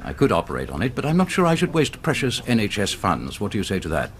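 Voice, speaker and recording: An actor playing a brisk, sardonic, superior British surgeon in a radio drama, with a dry lecturing manner. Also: male voice, fairly low pitch, huge pitch swings. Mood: stern, wry.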